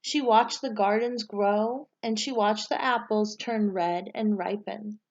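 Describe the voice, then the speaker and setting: A woman teacher reading a storybook aloud to pupils and giving them instructions in a clear way suited to children. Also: mid-range voice, steady tone, slow, dull audio.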